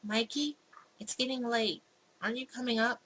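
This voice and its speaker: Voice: medium pitch, steady tone, normal speed, sharp tone, a little hiss or hum, voice pushed up, natural voice, poor audio. A game host voicing a blunt, exasperated policewoman girlfriend from a visual novel. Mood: concerned, calling out.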